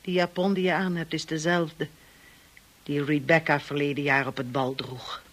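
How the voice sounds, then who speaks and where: A husky, calm sister-in-law. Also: female voice, low-pitched, moderate pace. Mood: grave. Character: calm, kindly sister-in-law, protective and soothing. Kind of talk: radio drama acting.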